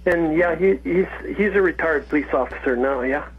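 A man's voice: a little high, moderate pace, husky. A man of sixty calling in to a radio show, chatting in a rambling way and laughing often. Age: 60s. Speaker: caller. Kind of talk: casual call-in chat. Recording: muffled phone line.

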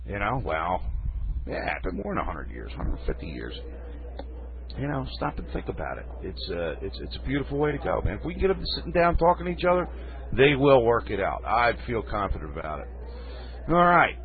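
A man's voice: lowish voice, sing-song, fairly quick, a little hiss or hum. A man hosting a radio talk show, reading news stories and adding folksy, rambling comments while losing his voice. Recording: phone-like lo-fi radio.

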